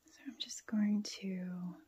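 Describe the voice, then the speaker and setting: A woman with a soft, breathy voice, murmuring and thinking aloud through a casual craft demonstration. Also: mid-range voice, steady tone, slow pace. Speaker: journaling vlogger.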